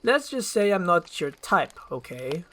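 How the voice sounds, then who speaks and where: A woman's voice: on the low side, big pitch swings, measured pace, a bit bright, heavily acted. Woman acting a grumpy, sarcastic ogre. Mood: annoyed, blunt. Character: grumpy, sarcastic male ogre, reluctant rescuer.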